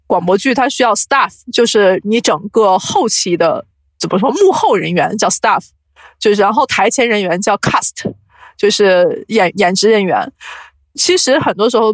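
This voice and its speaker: A woman talks as a guest in a casual interview. She explains her craft in an animated way and drops English terms into her speech. Her voice sits at a middle pitch in a dry recording. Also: no echo. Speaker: guest, audio drama producer.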